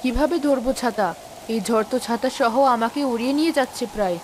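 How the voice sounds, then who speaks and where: A woman as an anxious, high-voiced village mother. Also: quick pace, darkish tone, lightly acted. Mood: anxious nagging. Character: worried village wife and mother, cautious and caring. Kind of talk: dramatic Bengali animated story acting. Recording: rainstorm noise behind voice.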